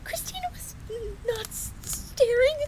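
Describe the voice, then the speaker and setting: A woman's voice: very high-pitched, slow pace, a little mellow. A woman playing a chatty, deferential fox maid who talks about herself in the third person in a fantasy audio roleplay. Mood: flustered, stammering. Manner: refers to self in third person, informal, explanatory. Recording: clear background noise, crackling fire ambience.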